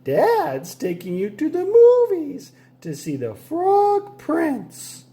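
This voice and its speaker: A fussing, coaxing mother frog from a children's storybook, put on by a man. Her voice is very high and shrill, with slow singsong phrases that stretch out at the ends. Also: wildly swooping pitch, warm tone, exaggerated voice. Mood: cheerful, insistent.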